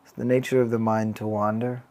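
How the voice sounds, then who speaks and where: A man guiding a body scan meditation as a mindfulness teacher, calm and gentle, unhurried, leaving long pauses. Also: fairly low pitch.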